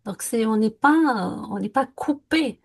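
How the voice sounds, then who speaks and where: A woman's voice: a little high, animated, a little slow, husky. A woman co-hosting a conversational podcast, speaking reflectively and earnestly with frequent hesitations. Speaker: podcast co-host. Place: lived in France.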